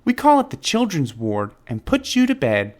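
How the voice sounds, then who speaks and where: A man voicing a cheerful, soothing young woman nurse who explains things gently in a children's storybook. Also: high voice, wildly swooping pitch, normal speed, pitched above the performer's own voice. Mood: kindly, reassuring.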